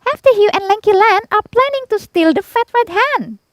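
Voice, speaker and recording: A woman's voice: very high voice, expressive, normal speed, no room sound, pitched above the performer's own voice. A woman voicing a clever little female ladybird in a storybook, eager and urgent. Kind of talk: storybook character voice.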